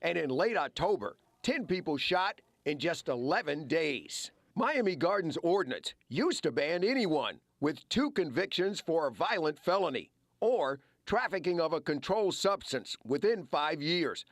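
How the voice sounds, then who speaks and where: Male American investigative reporter, higher-pitched, lively scripted news narration, dry close sound. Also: a little slow, no room sound.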